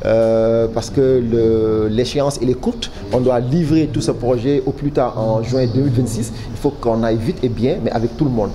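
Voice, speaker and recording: A man with a Senegalese accent giving formal interview answers as a project official, halting often and repeating words. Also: medium pitch, crowd noise. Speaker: official spokesman for a public waste management project. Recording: clearly noisy background ambience.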